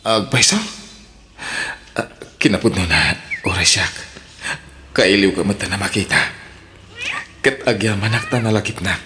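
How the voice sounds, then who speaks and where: A man's voice: lowish voice, normal speed, long pauses, noisy background, close to an everyday voice. A man playing an earnest, emotional young suitor in a radio drama, with an Ilocano accent. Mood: cordial.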